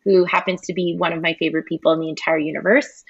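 A woman's voice: on the low side, little pitch movement, quick pace, vocal fry, slightly rough, dry recording, lo-fi sound. An American woman filmmaker, a podcast guest, talking in a casual, playful way with many fillers. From United States. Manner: chatty, playful, many ums. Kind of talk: casual chat.

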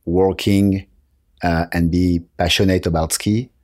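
A man with a French accent answering interview questions conversationally, halting as he searches for words. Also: low-pitched, fairly even intonation, darkish tone, no echo, studio quality. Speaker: interview guest, company marketing director. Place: office.

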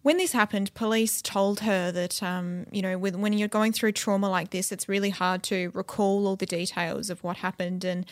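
A woman's voice: mid-range voice, little pitch movement, normal speed, hardly any pauses, no echo. An Australian woman explaining a case as a journalist in a conversational true crime podcast style.

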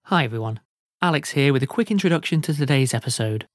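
A man's voice: mid-range voice, animated, quick pace, dry recording. Male podcast host, scripted announcements, close dry recording.

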